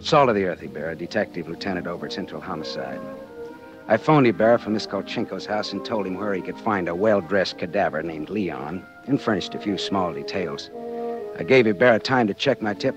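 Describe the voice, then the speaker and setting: An actor playing a sardonic, glib American hard-boiled private detective in 1940s radio style. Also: male voice, low voice. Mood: wry narrating. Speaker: lead actor and narrator. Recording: muffled, lo-fi 1940s radio recording.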